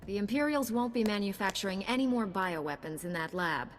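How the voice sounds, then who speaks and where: Actress as young heroic knight, game cutscene, resolute delivery, mid-range voice, some background ambience. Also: female voice, medium pitch, lively intonation, brisk, a little hiss or hum, lightly acted. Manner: firm, resolute. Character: principled, firm young female Jedi knight. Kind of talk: video game cutscene dialogue. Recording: long reverb, clear background ambience.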